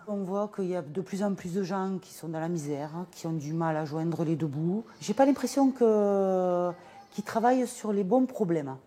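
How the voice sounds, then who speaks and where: A woman's voice: lowish voice, warm tone, a little hiss or hum. A woman in a street interview speaking in a concerned way.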